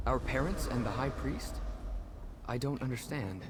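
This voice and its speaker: A man's voice: mid-range voice, animated, unhurried, noisy background, natural voice. An actor playing an earnest, eager young male companion in a fantasy video game. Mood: puzzled, earnest. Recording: clear game ambience behind.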